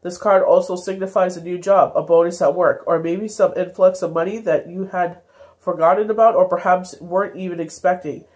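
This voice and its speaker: A woman's voice: lowish voice, fairly even intonation, brisk, a little mellow. Stuffy-nosed woman reading tarot cards. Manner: meandering, many fillers and self-corrections. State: congested, stuffy nose. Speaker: tarot reader. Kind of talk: daily tarot card reading.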